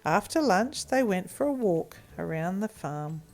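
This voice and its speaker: A woman's voice: medium pitch, sing-song, unhurried, a little mellow. A woman tells a story for children with a lot of melodic movement in her voice. She takes her time, reading expressively over a music bed.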